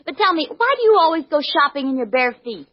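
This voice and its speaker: A woman's voice: high-pitched, sing-song, quick pace. A woman trading scripted comedy banter as a cast member on a 1940s radio show. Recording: noisy, dull 1940s radio recording.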